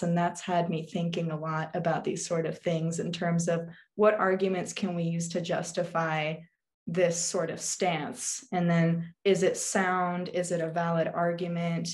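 Teenage girl, thoughtful, slightly low voice. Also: darkish tone. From England, also Turkey, Azerbaijan and Alaska. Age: teens. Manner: thoughtful, hesitant with many ums. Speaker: guest, witchcraft YouTuber. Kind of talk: casual interview answers. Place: small bedroom. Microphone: video call webcam.

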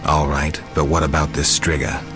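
Very deep, terse monster hunter. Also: male voice, normal speed, music in the background. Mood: stoic, guarded. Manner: terse, deadpan. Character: stoic, terse, battle-weary male monster hunter. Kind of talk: video game dialogue acting.

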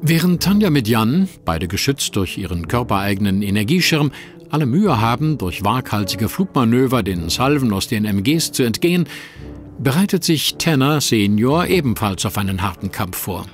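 A man's voice: mid-range voice, moderate pace, faint music behind. Man narrating a radio play. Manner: steady, dramatic.

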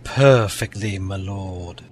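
A man playing a polite, sadistic henchman with a measured, formal manner in an audio drama. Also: low voice, a little mellow, a little hiss or hum. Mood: coolly deferential. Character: polite, sinister, sadistic henchman to villain lord.

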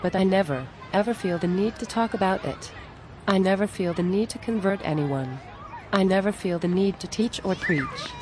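A woman's voice: mid-range voice, normal speed, darkish tone, some chatter behind, slight background noise, natural voice. A woman playing an exasperated, plain-spoken friend who pleads earnestly and reproachfully in scripted cartoon dialogue.

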